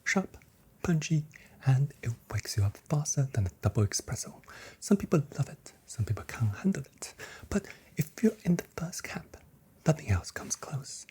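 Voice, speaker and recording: Whispered, mellow ASMR shop assistant voice. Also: mid-range voice, big pitch swings, normal speed. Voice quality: breathy, mostly whispered. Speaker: ASMR role-play performer playing a shop assistant. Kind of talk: whispered, soft-spoken ASMR customer service role play.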